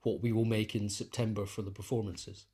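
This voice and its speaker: A man with a fairly low voice talks in a reflective interview. He thinks aloud as he explains, often pausing and reshaping his sentences in a thoughtful, halting way. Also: little pitch movement, mellow, slightly rough. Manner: thoughtful, halting, self-correcting. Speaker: interviewed composer and musician.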